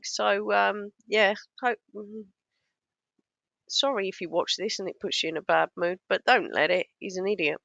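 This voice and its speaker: A woman talks through a clip in casual, sarcastic reaction commentary, her voice a bit hoarse from losing it. The sound is dry and slightly dull, with no room around it. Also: medium pitch, fairly bright tone, no echo. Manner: scornful, sarcastic, mocking. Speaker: YouTube commentator.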